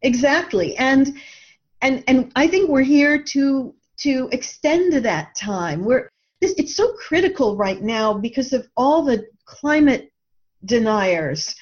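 A woman with a fairly high voice speaks as an interview guest, reflective and earnest. She stresses her points and sometimes doubles back on a word. The audio is slightly muffled and lo-fi. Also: lively intonation, slow pace. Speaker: interview guest, author and coach. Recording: dull, band-limited lo-fi audio.

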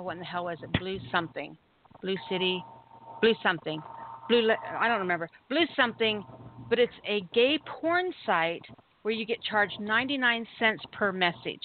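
A chatty woman tells a story over a call-in line, her voice thin and phone-like with a faint hiss behind it. She talks in an animated, casual way and laughs easily. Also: mid-range voice. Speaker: caller sharing a story.

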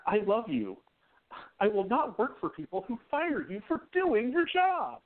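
Man as loving young husband, lively high-ish voice, tinny. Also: a little slow, full character voice. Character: young male newspaperman, loving and devoted. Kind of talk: amateur radio play acting. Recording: tinny phone-like sound.

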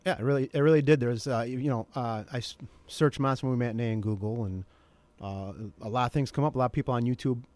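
Male interview guest, middle-aged, casual and slightly halting delivery, close dry studio sound. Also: medium pitch, a little mellow, no echo. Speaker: interview guest, documentary maker. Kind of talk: casual radio chat.

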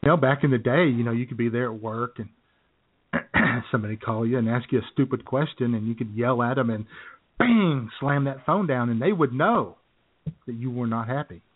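A man co-hosting a comedy podcast, rambling and joking through his stories. His voice sits at an ordinary middle pitch. The sound is thin and tinny, like a phone line. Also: moderate pace, breathy edge. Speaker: podcast co-host. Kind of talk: casual comedic chat.

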